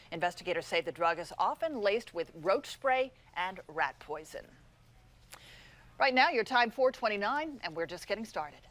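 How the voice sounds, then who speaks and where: Casting: female American news anchor, mid-range pitch, delivering scripted morning headlines. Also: a bit bright.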